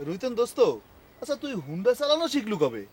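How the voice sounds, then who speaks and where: A man's voice: very high voice, very animated, fast, a little hiss or hum. An actor playing an eager, naive village man in a comic TV drama, speaking a rural Bangladeshi dialect. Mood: pleading.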